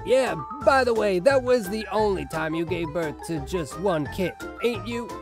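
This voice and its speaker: Man as a jovial, high-pitched folksy cartoon rabbit dad. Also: a little slow, over music. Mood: cheerful, oblivious. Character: folksy, chatty, oblivious middle-aged father rabbit. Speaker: voice actor in a comic dub.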